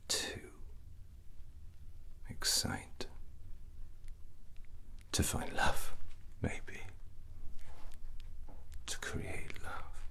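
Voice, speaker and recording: A man playing a drunken, brooding, scheming fantasy prince in a whispered ASMR roleplay, halting and musing with a breathy, hushed voice. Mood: quietly scheming. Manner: slow, halting, musing, with long pauses.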